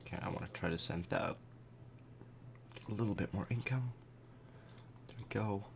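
Young man, very low strained voice, cracking, laid-back game explainer, faint hiss behind. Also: moderate pace, creaky. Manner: relaxed, explanatory, many fillers. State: voice cracking after a concert. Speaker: gameplay commentator. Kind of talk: casual gameplay commentary.

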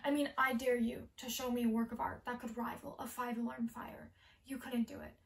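A woman playing an obsessive, wistful pyromaniac in a theatrical monologue, hushed, halting and intense. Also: on the high side, level tone, vocal fry, slight background noise. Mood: pleading, enraptured. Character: obsessive female pyromaniac, wistfully enraptured by fire. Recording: distant camera microphone in a room.

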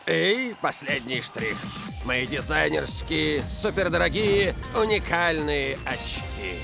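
A hammy, self-adoring football star from a cartoon. The voice is high and unhurried, with a creaky edge. He boasts theatrically, as if every word were a gift. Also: big pitch swings, exaggerated voice. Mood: smug. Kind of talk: animated cartoon dub. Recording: music behind the voice.

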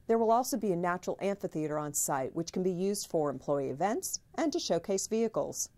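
A woman's voice: fairly low pitch, very animated, brisk, dark tone. A middle-aged woman delivering scripted news narration as a video reporter.

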